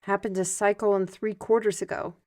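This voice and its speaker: A woman playing a young, learned squire in an audio roleplay, explaining things in an animated, chatty manner. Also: medium pitch, fairly quick, lightly acted. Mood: explanatory.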